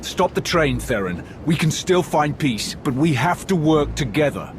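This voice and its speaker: An actor playing a stern, imposing warrior commander in a video game, speaking in a measured, formal, commanding way. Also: male voice, on the high side, sing-song, noisy background. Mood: urgent. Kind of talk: video game cutscene acting.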